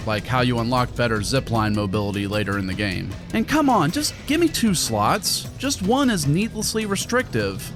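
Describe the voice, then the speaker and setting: A man narrating a scripted video game review in a wry, sarcastic, casual way. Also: mid-range voice. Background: background music.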